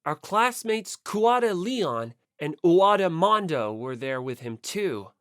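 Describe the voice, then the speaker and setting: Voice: medium pitch, very animated, measured pace, a bit bright, no echo, clean studio sound. An audiobook narrator reading a first-person story expressively.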